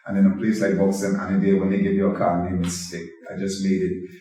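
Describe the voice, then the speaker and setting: Man, middle-aged, lowish voice, measured storytelling, roomy echo, distant mic. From Guyana. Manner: calm, measured, ends thoughts with "you know". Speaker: dancehall artist. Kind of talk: reflective interview storytelling. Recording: echoey room, mic far off.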